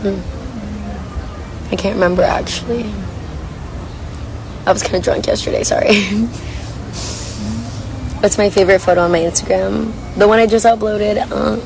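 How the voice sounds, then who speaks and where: A young woman with a hoarse, worn voice chats casually while reading viewer comments on a livestream from a coffee shop. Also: mid-range voice, steady tone, normal speed, long pauses, background noise. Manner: chatty, rambling, reads comments aloud. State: hoarse, lost voice. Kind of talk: casual chat.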